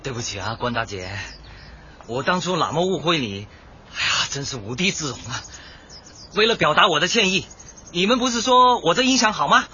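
A man's voice: on the high side, very animated, moderate pace, pushed and bright, heavily acted. An actor playing an eager, good-natured, boastful young male shopkeeper in a radio comedy, perky and self-satisfied. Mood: sheepish. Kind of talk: light comedy radio drama acting. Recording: dull lo-fi audio, faint noise.

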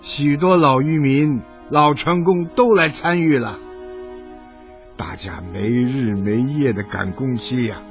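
A man's voice: mid-range voice, slow. An elderly boat-worker grandfather in a radio drama, reminisces with pride and fondness. He takes his time and speaks with open feeling. The sound is slightly muffled, with music underneath. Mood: proud, nostalgic. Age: older. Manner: emotive, reminiscing storyteller.

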